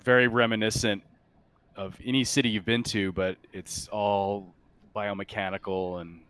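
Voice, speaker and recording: A man narrating a tabletop sci-fi game as game master, casually describing scenes in a halting way. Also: lowish voice, level tone, a bit bright, smooth.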